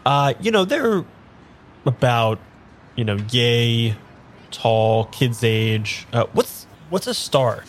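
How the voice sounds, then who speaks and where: A man's voice: mid-range voice, sing-song, slight background noise. A man playing a bewildered, earnest amnesiac in an improvised audio drama, speaking in an unsure, conversational way. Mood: flustered, unsure. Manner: halting, unsure, rambling. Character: bewildered, earnest man without his memory. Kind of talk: improvised audio drama dialogue and first-person narration.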